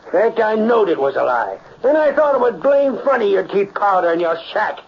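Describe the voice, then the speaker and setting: An actor playing a gruff, plain-spoken cowboy with a folksy American West accent in an old radio western. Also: male voice, high voice, big pitch swings, brisk, heavily acted. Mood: indignant. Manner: folksy, blunt. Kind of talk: 1940s radio western acting. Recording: dull, faintly noisy old radio recording.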